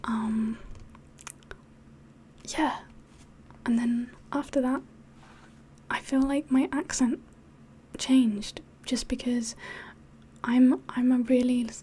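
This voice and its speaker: A woman speaks in a hushed, mostly whispered ASMR style with a slightly mixed English accent. Her voice is fairly high yet mellow, and she takes her time, rambling hesitantly. A faint hiss sits behind her. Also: fairly even intonation, slow pace, pauses often. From England. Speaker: ASMR livestream host. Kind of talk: soft-spoken ASMR chat, mostly whispered.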